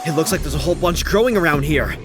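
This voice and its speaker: A man playing an anxious, questioning young horse racer in a dramatic anime fandub, excitable and incredulous in manner. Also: fairly high pitch, fast talker, music in the background, natural voice. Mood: tense, reasoning. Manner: excitable, incredulous, swinging from muttering to shouting.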